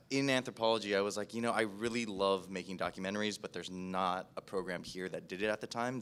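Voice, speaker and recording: A man with a low voice and an American accent speaks casually as a podcast producer on a panel. He is self-deprecating and chatty, often acting out his own past thoughts as he goes. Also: a bit bright, clear, resonant tone. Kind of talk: casual spoken career story at a panel.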